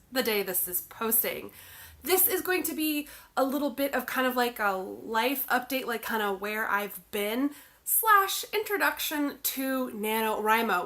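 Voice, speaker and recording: Bright-voiced congested woman chatting. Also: fairly high pitch. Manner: chatty, bubbly, many "like" and "um" fillers. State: congested from the flu. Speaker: author and video blogger. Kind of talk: casual chat to camera.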